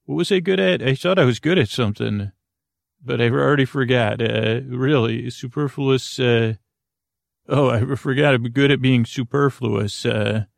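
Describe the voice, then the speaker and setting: A man hosting a sleep podcast in a creaky, lulling voice, meandering haltingly through tangents and interrupting himself as he goes. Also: mid-range voice, dry recording, clean studio sound. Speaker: podcast host. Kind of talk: rambling bedtime sleep podcast monologue.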